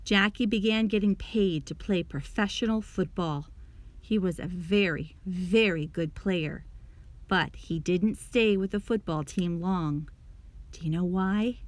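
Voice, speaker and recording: A woman reading a children's story aloud in an expressive storytelling manner, addressing young listeners. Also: mid-range voice, no echo. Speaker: storybook reader.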